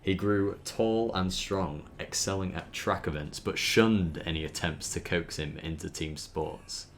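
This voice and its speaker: A man's voice: low voice, measured pace, slight background noise. A man giving an informal dramatic reading of a story, stumbling now and then and adding chatty asides. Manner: stumbles over words and breaks off to comment. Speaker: host reading a backstory aloud.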